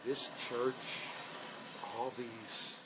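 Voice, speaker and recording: An actor playing a remorseful escaped convict who questions himself haltingly in naturalistic drama, recorded on location. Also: male voice. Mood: agitated, questioning. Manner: searching, self-questioning, halting. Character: escaped convict, brooding, remorseful man wrestling with guilt. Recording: noisy location sound with steady ambient rumble.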